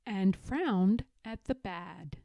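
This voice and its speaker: A woman reading a children's picture book aloud in a deliberate, expressive storytelling manner. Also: mid-range voice, vocal fry, no echo. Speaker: storybook reader.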